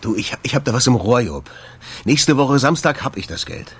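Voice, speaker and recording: A man's voice: medium pitch, very animated, moderate pace, slightly rough, full character voice. A man playing a harried, irritable jazz club owner in a German radio drama, impatient, curt and nervy. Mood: nervous, pleading.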